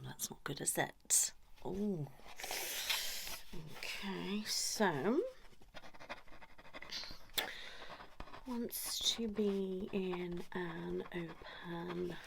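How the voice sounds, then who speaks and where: A woman's voice: medium pitch, slight background noise, heavily acted. Woman role-playing a whispery, blunt couples therapist, ASMR. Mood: probing, sceptical. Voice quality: breathy soft-spoken, often slipping into whisper. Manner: fussy, chiding, pausing as if listening to clients. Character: blunt, judgmental female couples therapist, brisk and exasperated. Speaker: ASMR role-play performer.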